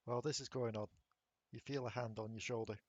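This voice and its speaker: A man's voice: mid-range voice, fairly even intonation, fast talker, muffled recording. A man narrating a tabletop game as game master, laughing easily between lines.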